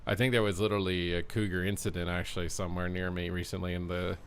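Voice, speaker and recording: A male game streamer with a low voice. He chats casually with his viewers, rambling and padding his sentences with fillers. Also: level tone, fast, slight background noise. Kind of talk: casual live-stream chat.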